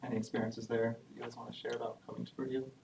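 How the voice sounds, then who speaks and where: A man working as an admissions officer who hosts a live Q&A panel and explains things in a friendly way, with frequent "um" pauses. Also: medium pitch, level tone, vocal fry, darkish tone. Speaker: assistant director of international undergraduate admissions. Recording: echoey room, distant mic, faint background noise.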